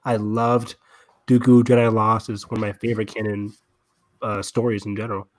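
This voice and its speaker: A man's voice: on the low side, flat delivery, normal speed, a little mellow, dry recording. A man co-hosting a podcast in casual, gushing fan discussion, speaking with open enthusiasm. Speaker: podcast co-host.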